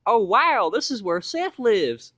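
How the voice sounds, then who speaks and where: A man voicing an excitable young female pony with gushing enthusiasm in an amateur fan fiction reading. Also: high voice, takes it slowly, dry recording, muffled recording, voice pushed up, heavily acted. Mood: awed. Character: excitable young filly pony, girlish and singing.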